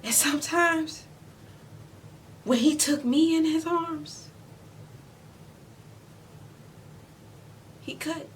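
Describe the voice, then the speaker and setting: A woman in her forties playing a weary, proud, loving mother in an emotional stage monologue, speaking deliberately with heavy pauses. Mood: pained, emphatic. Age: 40s. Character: weary, proud middle-aged mother and widow, wounded but loving. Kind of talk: emotional stage monologue, self-taped audition. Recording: distant mic, noticeable low rumbling noise.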